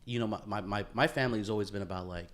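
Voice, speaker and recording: A man's voice: lowish voice, rapid-fire, a bit bright. Casual, slangy male podcast co-host. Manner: slangy, peppers talk with bro, dude and man. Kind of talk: casual conversation between friends.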